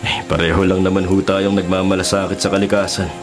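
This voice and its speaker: A good-hearted, stubborn forest ranger played by a man with a low voice. He speaks earnestly and insistently in Filipino radio drama style, with clear music behind. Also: little pitch movement, quick pace, lightly acted. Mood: respectful.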